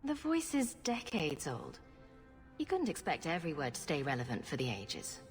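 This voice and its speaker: A woman's voice: low voice, huge pitch swings, normal speed. A woman playing a sarcastic rogue adventurer in a video game, with a wry, flippant way of talking. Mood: wry, reassuring. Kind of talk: video game character acting. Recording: reverberant hall, music behind.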